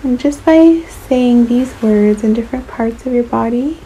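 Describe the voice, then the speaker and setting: Woman, meditation guide, soft mellow tone, fairly high voice, soothing, long pauses, faint background hiss. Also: low-quality recording. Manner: soothing, unhurried, with long pauses between short phrases.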